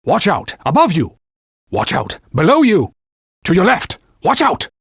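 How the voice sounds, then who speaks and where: Bossy talking sword from a video game, pompous knightly scold, kinda husky, pitch swoops all over. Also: male voice, huge pitch swings, a little slow, a bit bright, dry recording, clean studio sound, heavily acted. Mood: urgent. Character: haughty talking sword, stern male knightly mentor. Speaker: video game companion guide. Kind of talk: video game voice acting, short combat callouts.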